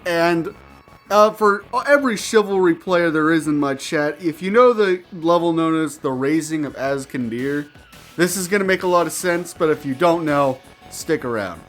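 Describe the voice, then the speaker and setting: Higher-pitched man telling rambling gaming stories. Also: moderate pace, fairly bright tone, faint music behind. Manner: playful, crude slang, swearing and nonsense words. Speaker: gaming storyteller and channel host. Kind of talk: casual, rambling anecdote told to viewers.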